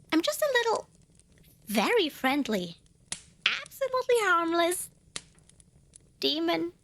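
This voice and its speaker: A woman's voice: high voice, fairly bright tone, exaggerated voice. A woman playing a cute, eager and nervous little female demon in an ASMR roleplay, bubbly and over-polite with hesitant stammers. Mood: polite, sheepish. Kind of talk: ASMR roleplay acting addressed to listener.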